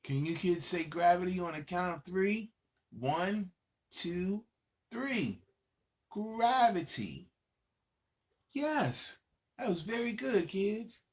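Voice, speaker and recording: Animated man reading a children's story, unhurried. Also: sits high. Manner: playful, dramatic, pauses to ask young listeners questions. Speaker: children's storybook reader and host.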